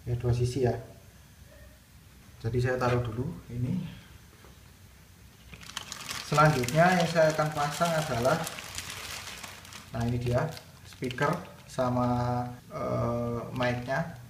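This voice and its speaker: A man's voice: medium pitch, a little slow, pauses often. A man narrating a casual hands-on tutorial, explaining it step by step as the host. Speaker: video host demonstrating product installation. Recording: roomy, distant mic, clear background noise.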